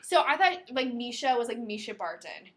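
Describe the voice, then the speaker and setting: A woman's voice: a little high, sing-song, moderate pace, slight background noise. A woman co-hosting a podcast, chatting casually and enthusiastically about a book. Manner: enthusiastic, gushing. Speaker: podcast co-host. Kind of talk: casual book-discussion chat.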